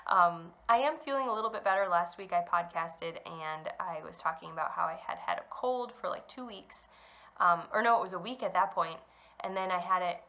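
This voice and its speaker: A woman's voice: fairly low pitch, sharp tone. A young woman hosting a knitting podcast from home, chatting in a rambling way with lots of ums while still a little congested from a cold. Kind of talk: casual chat to camera. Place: at home indoors.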